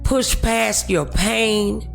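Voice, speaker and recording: A woman's voice: medium pitch, drawn-out words, gravelly, faint music behind. A woman with an American accent hosting a motivational talk show, speaking in an encouraging, preacher-like way.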